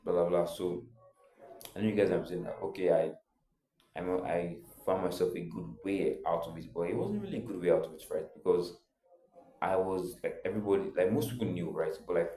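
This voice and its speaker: Young man, very low voice, mellow tone, rambling casual storytelling, echoey room. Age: young adult. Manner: rambling, heavy on filler words and "blah, blah, blah". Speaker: vlogger.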